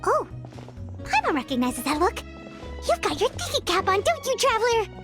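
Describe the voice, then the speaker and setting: Casting: childlike fairy companion for anime game, sky-high squeaky pitch, very lively intonation, chatty and exclamatory. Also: female voice, normal speed, heavily acted. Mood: curious, concerned. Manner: refers to self in third person, exclamatory. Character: small childlike flying companion, chatty, naive and nervy. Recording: music behind. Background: game music.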